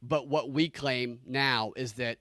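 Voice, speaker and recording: A man's voice: medium pitch, fairly even intonation, takes it slowly, bright, forceful tone, no echo, studio quality. A man with a nasally voice hosting a casual reaction chat, blunt and sarcastic, swearing freely. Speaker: podcast host.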